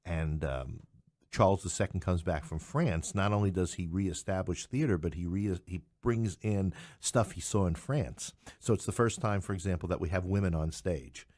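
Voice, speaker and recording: Deep-voiced middle-aged man telling stories. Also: normal speed, darkish tone, dry recording, clean studio sound. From New York City. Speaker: podcast guest, English professor. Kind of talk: conversational interview, anecdotes.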